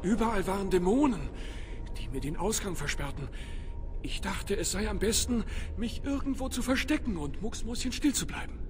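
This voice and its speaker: A man's voice: sits high, lively intonation, normal speed, noisy background. A man playing a nervous, cowardly mage in a fantasy video game, plaintive and flustered. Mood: relieved, eager. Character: timid, cowardly male mage, flustered and grateful.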